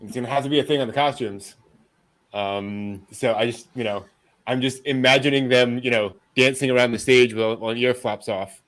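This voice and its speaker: A man's voice: medium pitch, fairly even intonation, brisk, a bit bright, a little hiss or hum, poor audio. A man hosting a casual anime news show, chatting and joking with a wry, amused delivery.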